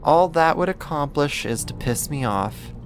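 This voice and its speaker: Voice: mid-range voice, expressive, slight background noise, natural voice. A performer playing a possessive yandere neighbor who kidnaps, sweet yet menacing, in an intimate, coaxing ASMR roleplay monologue. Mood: possessive, smug. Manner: coaxing, slow, deliberate, condescending endearments.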